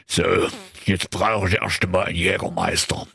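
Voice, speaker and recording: A man's voice: low-pitched, level tone, moderate pace, vocal fry, bright, forceful tone, husky, dry recording, studio quality, exaggerated voice. A man voicing a sly wolf who poses as an airline pilot, making a hesitant, bumbling announcement in a comic fairy tale. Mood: smug, rambling. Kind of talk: comedic fairy-tale storytelling.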